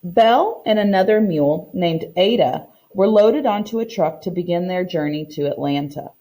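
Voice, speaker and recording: A woman reading a storybook aloud like a teacher, expressive and deliberate, explaining as she goes. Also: lowish voice. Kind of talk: expressive storybook read-aloud with comprehension questions.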